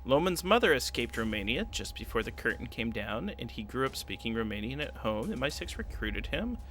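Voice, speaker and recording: Bright-voiced man prepping a game. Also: mid-range voice, big pitch swings, brisk. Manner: thinks aloud, reads long passages, hums to himself. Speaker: game master prepping a campaign. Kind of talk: casual solo prep stream, reading rules aloud. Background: background music.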